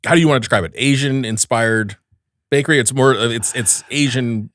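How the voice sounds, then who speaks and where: A man co-hosting a casual podcast, speaking at an ordinary mid pitch. He talks in a relaxed way and stops often for fillers. The sound is dry and close. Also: a little fast, no echo. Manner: relaxed, with many hesitant fillers. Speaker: podcast co-host.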